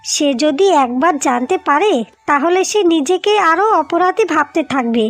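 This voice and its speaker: A woman voicing an earnest, practical wife in scripted cartoon dialogue, speaking deliberately. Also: sits high, animated. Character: determined, practical young Bengali wives plotting to save home.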